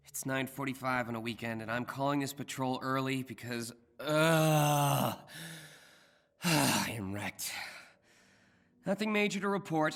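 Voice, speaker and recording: A man's voice: mid-range voice, a bit bright. A man voicing an earnest young superhero in a video game, with an American accent and a hesitant, self-deprecating manner. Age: young adult. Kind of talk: naturalistic video game voice acting.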